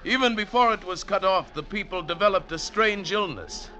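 Solemn, high-pitched radio mountain guide. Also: male voice, normal speed, pushed and bright, slight background noise. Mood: solemn, mysterious. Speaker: radio drama actor. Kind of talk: 1940s-50s radio acting. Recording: vintage radio recording with music behind.

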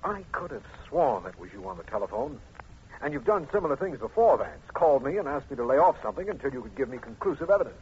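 A man's voice: medium pitch, very animated, fast talker, darkish tone, close to an everyday voice. A man acting an agreeable, straight-talking lawman, a detective's ally, in an old radio drama. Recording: muffled, noisy old radio recording.

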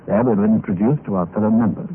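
A man acting a bored, cool gentleman adventurer who recounts his tale in a suave, formal, old-time radio style. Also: fairly low pitch, fast talker, lightly acted. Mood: grave. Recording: noisy, tinny old radio recording.

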